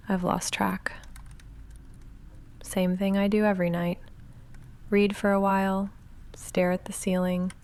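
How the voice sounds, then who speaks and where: A woman playing a young goth vampire roommate in an ASMR roleplay, speaking softly and often whispering in a dry, halting, self-deprecating way. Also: medium pitch. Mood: wry, rambling. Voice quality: soft, often whispered, breathy fry.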